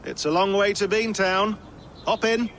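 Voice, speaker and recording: A man's voice: sits high, steady tone, a little slow, noisy background. A man playing a friendly, chatty tech-support character who guides a teammate over the radio in a video game. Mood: instructive. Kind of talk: video game cutscene acting. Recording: game audio with loud music.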